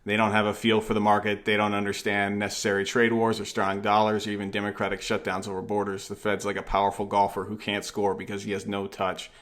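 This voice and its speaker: Lower-voiced man, halting podcast interviewer. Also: monotone, a bit bright. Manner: halting, rambling lead-ins to questions. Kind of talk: conversational interview.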